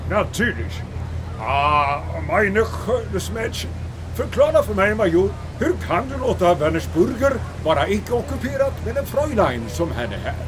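An actor playing a pompous, lecherous German U-boat captain who flirts in German-accented Swedish in a comic audio drama. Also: male voice, sits high, big pitch swings, noisy background. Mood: flirtatious, boastful.

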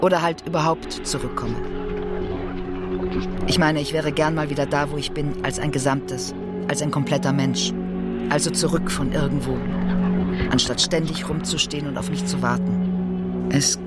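An actor playing a brooding, fractured figure from Greek tragedy in a radio drama monologue, with halting, repetitive, self-questioning delivery. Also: male voice, fairly high pitch, steady tone, close to an everyday voice. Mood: agitated, searching. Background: music.

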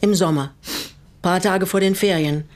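An actress playing a guarded, quietly reproachful older woman in a radio play, speaking in a measured, pointed way. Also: fairly low pitch, natural voice. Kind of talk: German radio drama dialogue.

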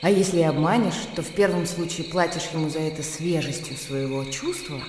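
An actress in a radio play playing a composed, worldly widow who speaks in a measured, persuasive way. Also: female voice, low voice, fairly even intonation, very noisy, close to an everyday voice. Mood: reflective, persuasive. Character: composed, worldly young widow, a landowner's self-assured sister. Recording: echoey room with music behind.

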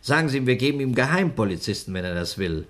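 A man playing a weary, stern police inspector in a 1960s radio drama, speaking in a measured, admonishing way. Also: mid-range voice, very animated, natural voice.

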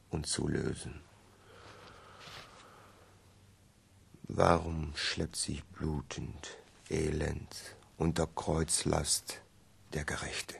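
An actor playing a grave, brooding man solemnly reciting verse in a radio play, his voice very husky and gravelly. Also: a bass voice, exaggerated voice.

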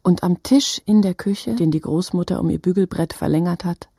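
A woman narrating a literary radio drama in a husky, breathy voice, with halting, fragmented phrasing. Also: on the low side, dark tone, dry recording, clean studio sound.

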